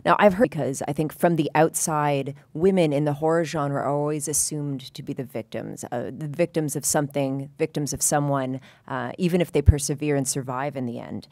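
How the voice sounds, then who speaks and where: Eager low-voiced woman interviewer. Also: steady tone, a little fast, few pauses, a little mellow, dry recording. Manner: eager, enthusiastic, fan-like. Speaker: interviewer, host. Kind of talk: live panel Q&A. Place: stage at a fan expo.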